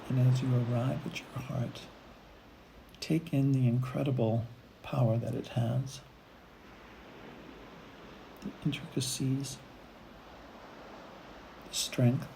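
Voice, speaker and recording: A woman leading a guided meditation, speaking in unhurried phrases with long pauses between them. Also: very deep voice, vocal fry, noisy background. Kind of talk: guided meditation, gentle imagery narration.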